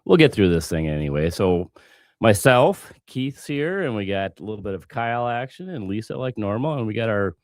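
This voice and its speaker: A man hosting a casual finance podcast, hoarse from a cough, relaxed and jokey. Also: on the low side, moderate pace, dry recording, studio quality. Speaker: podcast host.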